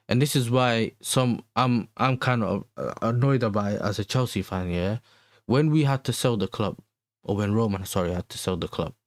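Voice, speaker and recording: A man with a London accent talks in a slightly low voice with a dry, close sound. He hosts a casual livestream, slangy and conversational, often circling back over his own phrases as he chats with viewers. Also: no echo. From Netherlands. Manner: slangy, conversational, repeats himself. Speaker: livestream host and football fan.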